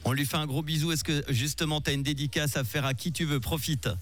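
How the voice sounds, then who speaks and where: A man's voice: on the high side, steady tone, fairly quick, fairly bright tone, soft background music. A man hosting a radio quiz game, playful and laughing often. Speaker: radio host. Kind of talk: lively radio game show.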